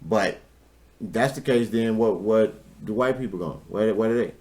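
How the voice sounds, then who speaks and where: A man's voice: fairly low pitch, moderate pace, a little mellow. A young adult man from Chicago co-hosting a podcast, teasing the others with dry wisecracks in casual chat. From Chicago, USA. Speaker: podcast co-host.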